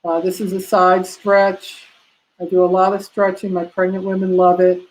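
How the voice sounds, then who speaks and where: A woman's voice: lowish voice, monotone, moderate pace, poor audio. Chatty woman teaching a massage class. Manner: chatty, many fillers, talks to herself while clicking slides. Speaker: prenatal massage instructor. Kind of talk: live online class, casual teaching.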